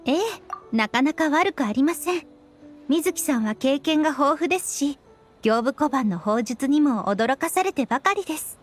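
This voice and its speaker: A composed young woman strategist in an anime game. Her high voice moves quickly, polite and shrewd. Soft music sits behind her. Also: lively intonation. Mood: composed, approving. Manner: polite, measured wording, analytical. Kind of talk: Japanese anime game voice acting.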